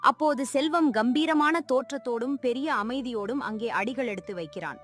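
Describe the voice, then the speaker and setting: A woman's voice: high-pitched, brisk, fairly bright tone, soft background music. A woman narrating a scripted moral story.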